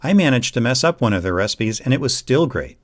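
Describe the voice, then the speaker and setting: A man playing a devoted, gentle boyfriend in an audio roleplay, talking casually and earnestly. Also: medium pitch, big pitch swings, a little fast. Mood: casual, fond. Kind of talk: amateur audio roleplay acting.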